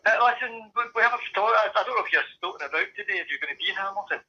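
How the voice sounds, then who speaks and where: A dull telephone line carries a man's very bright, sharp voice, pitched a bit high. He is an interviewer, feeling his way into each question with hesitant restarts. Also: animated, very fast, a little hiss or hum.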